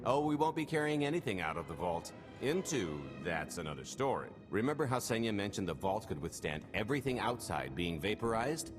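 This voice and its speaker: Cocky, low-voiced roguish smuggler. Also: male voice, big pitch swings, a little fast, hardly any pauses. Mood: confident. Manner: wry, glib, swaggering banter. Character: cocky roguish male smuggler pitching a heist. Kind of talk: cinematic video game voice acting. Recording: music behind.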